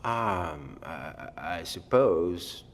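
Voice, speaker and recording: An actor playing a nervous, wary scientist in an audio drama, speaking in hesitant, precise, educated phrases. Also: male voice, low-pitched, huge pitch swings, extremely slow, creaky, heavily acted. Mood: hesitant, guarded. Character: nervous blind male scientist, formal and wary, stranded alone. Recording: long echoey reverb of an empty hall.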